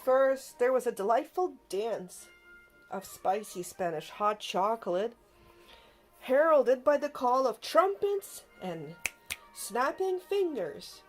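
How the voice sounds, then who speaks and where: Female storybook reader, higher-pitched, unhurried and expressive, dramatic emphasis for young listeners, music underneath. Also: low-quality recording. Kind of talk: children's read-aloud narration. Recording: music behind the voice.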